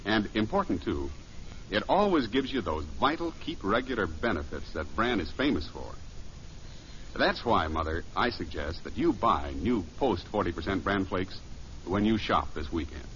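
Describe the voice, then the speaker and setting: A man's voice: fairly low pitch, very animated, moderate pace, a bit bright. A man reading a 1950s radio commercial in a folksy, persuasive announcer style. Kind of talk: 1950s scripted radio advertising. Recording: muffled old radio recording, faint hiss.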